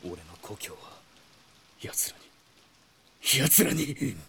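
A brooding young mercenary swordsman haunted by loss. His delivery is intense and bitter, swinging widely in pitch as in an anime audio drama. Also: big pitch swings, takes it slowly, gravelly, a bit bright, slightly rough, exaggerated voice. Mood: bitter anguish.

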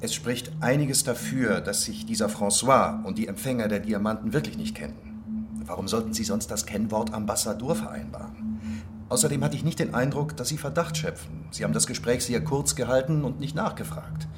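An actor playing a sober, analytical police investigator in a radio drama, measured and matter-of-fact. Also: male voice, medium pitch, background noise, close to an everyday voice. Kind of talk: naturalistic radio drama acting.

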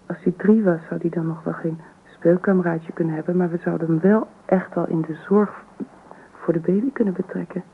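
A woman's voice: lowish voice, steady tone, a little fast, natural voice. An actress playing an earnest, worried young wife and mother in a naturalistic radio drama, speaking insistently. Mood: pleading. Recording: old radio play, phone-like sound, noisy.

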